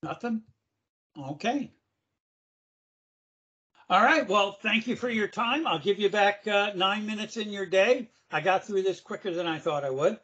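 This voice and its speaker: A man presenting a casual screen-share demo on a video call, chatty and loose with his fillers, with a slightly hoarse voice. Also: high-pitched, lively intonation, unhurried, long pauses, pushed and bright, highs cut off. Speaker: webinar presenter.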